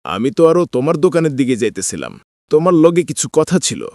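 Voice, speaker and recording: Man doing friendly village trader guy, cartoon, bangladeshi dialect, animated pitch, very dry sound. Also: quick pace, dry recording. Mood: friendly, businesslike. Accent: Bangladeshi Bengali dialect. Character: friendly village trader, older-brother type, talking business. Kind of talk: cartoon fairytale dialogue.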